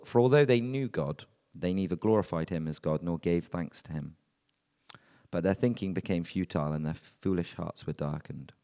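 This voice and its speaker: A man reading scripture and leading prayer in a measured, earnest way at a church service. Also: low-pitched, warm tone. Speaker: scripture reader and prayer leader. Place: church hall. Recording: long reverb of a church hall.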